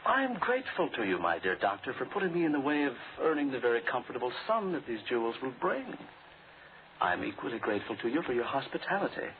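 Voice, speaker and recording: On a thin, faintly noisy vintage radio recording, a gentleman crook in a thriller. He is mannered and sardonic, wary under the politeness, with a voice that swings widely in pitch. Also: huge pitch swings, quick pace, narrow, tinny audio. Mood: suave, wary. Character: smooth, suspicious gentleman crook, coolly defiant. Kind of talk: old-time radio thriller acting.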